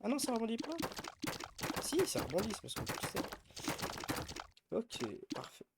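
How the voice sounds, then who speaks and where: A man streaming a game, nursing a head cold as he talks it through in a loose, casual way. He thinks aloud, counting and weighing each choice. Loud noise sits under the whole recording. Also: extremely high pitch, huge pitch swings, normal speed, creaky. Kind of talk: casual gameplay commentary.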